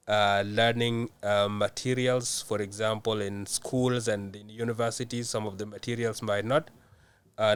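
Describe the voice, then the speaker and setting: A young man from South Sudan answering interview questions in a measured way, with many hesitant fillers. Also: on the low side, clear, resonant tone. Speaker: guest.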